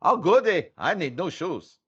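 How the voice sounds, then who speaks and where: A man's voice: high voice, big pitch swings, a little slow, breathy edge, dull audio, exaggerated voice. An actor playing a cheeky, sarcastic male hairstylist questioned by detectives in a comedic radio drama. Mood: sassy. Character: sassy male hairstylist suspect.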